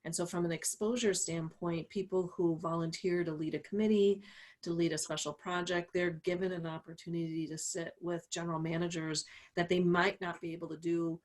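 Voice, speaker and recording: A woman's voice: on the low side, fairly even intonation, fairly quick, a little mellow. A woman speaking as a panelist, explaining things in a conversational professional style.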